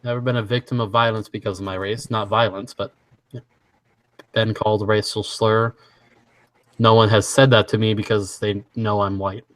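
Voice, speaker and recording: A young man from Washington state hosts a casual stream chat, reading quiz lines and laughing along with his guests. His voice sits a little low. It is recorded close on a desk mic, dry with almost no room. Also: flat delivery, dry recording, rough recording. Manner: easygoing, laughs often, halting restarts. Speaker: stream host.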